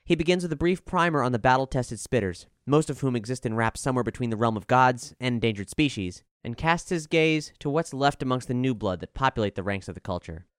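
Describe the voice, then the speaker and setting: A man reading a scripted album review in a measured, articulate way with formal wording. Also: mid-range voice, expressive, smooth, no room sound, clean studio sound.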